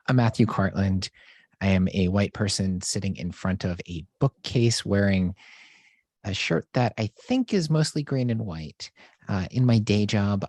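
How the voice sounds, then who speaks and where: Deep voiced guy on zoom from his home office, chatty, dry close sound. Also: a little mellow, no room sound. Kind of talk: video call panel introduction. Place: home room with a bookcase. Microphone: video call.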